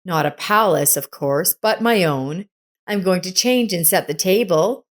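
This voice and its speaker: A woman's voice: lowish voice, a little slow, lightly acted. A woman narrator voicing an older woman in a story, motherly but bossy, plain-spoken and insistent. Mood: kindly, inviting.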